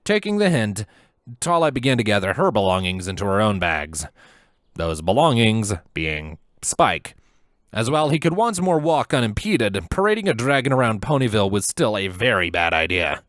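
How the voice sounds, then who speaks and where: A man narrating a scripted story in a measured, dramatic storytelling manner. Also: lowish voice, animated, fairly bright tone, dry recording, studio quality. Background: music.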